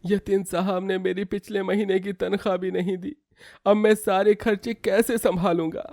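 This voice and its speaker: A man's voice: high-pitched, level tone, moderate pace, darkish tone, dry recording, clean studio sound, voice pushed up. A man voicing a humble, earnest cook and father in a moral story, deferential in manner. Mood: worried. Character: humble, pleading male cook, worried ageing father. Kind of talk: acted moral-story dialogue.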